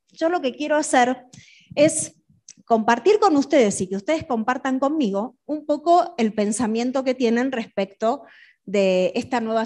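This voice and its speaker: A woman's voice: on the high side, a little mellow. A middle-aged woman, presenting a business talk to an audience in a didactic, questioning way. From Argentina. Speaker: conference speaker.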